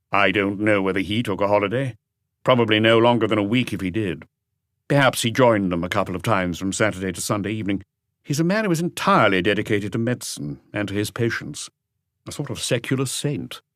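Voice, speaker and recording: A man's voice: on the low side, fairly bright tone, no echo, clean studio sound, close to an everyday voice. A man voicing an earnest, talkative doctor who explains things with assurance in an audiobook mystery. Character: earnest male doctor, talkative and conscientious family friend.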